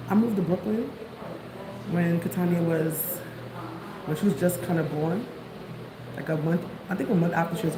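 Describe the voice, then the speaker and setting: A woman from Queens with a New York accent, chatting casually to viewers on a livestream while out on the street. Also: on the low side. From New York City, Queens. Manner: rambling, chatty, greets viewers. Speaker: vlogger. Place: outdoors on the street. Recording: noisy background.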